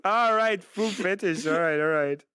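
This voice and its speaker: A man's voice: high-pitched, measured pace, pushed and bright, clean tone, no room sound, studio quality. A man hosting a live stream, reading viewer confessions aloud in a playful, theatrical way and laughing often. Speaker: streamer host.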